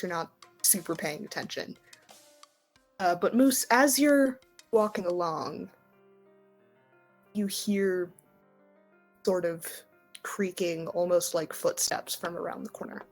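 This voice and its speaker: A woman's voice: lowish voice, unhurried, long pauses, faint music behind. A woman narrating a tabletop game as game master, improvising in informal, hesitant phrasing.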